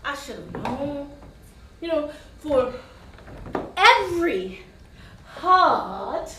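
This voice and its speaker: Woman acting sassy jaded girlfriend in a stage play, high n swoopy, slangy, echoey noisy room far from mic. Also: big pitch swings, bright, forceful tone, full character voice. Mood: preachy. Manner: slangy, theatrical, drawn-out emphasis. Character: sassy, cynical, hard-drinking woman friend. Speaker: stage actor. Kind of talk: live stage comedy-drama dialogue.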